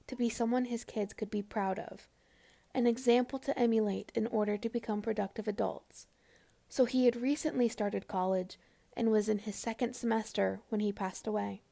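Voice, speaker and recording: A woman narrating a scripted memorial profile, reading in a measured, solemn way. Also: mid-range voice, vocal fry, dark tone.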